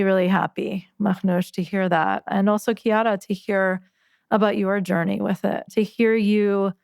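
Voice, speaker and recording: A woman hosting a podcast, talking through poems in a slow, thoughtful, gentle way. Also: medium pitch, clean tone, dry recording, clean studio sound. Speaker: podcast host. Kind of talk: reflective conversation about poetry.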